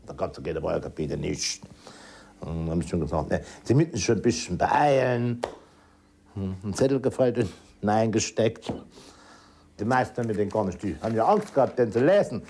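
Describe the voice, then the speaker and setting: A man's voice: fairly low pitch, expressive, a little fast, gravelly, warm tone, slight background noise. A man recounting his memories in casual, agitated talk, repeating himself and acting out exchanges. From East Germany. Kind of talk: emotional personal recollection in casual talk.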